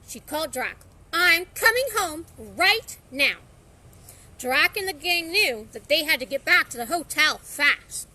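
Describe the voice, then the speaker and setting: Animated high-pitched woman reading a story. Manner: expressive, theatrical, acts out dialogue. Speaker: storyteller reading a children's book aloud.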